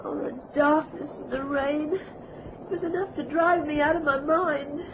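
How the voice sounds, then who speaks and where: A woman's voice: sits high, steady tone, measured pace. An actress playing an agitated, suspicious old woman who pleads and demands in an old radio thriller. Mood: shaken, exhausted. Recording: noisy, dull old radio recording.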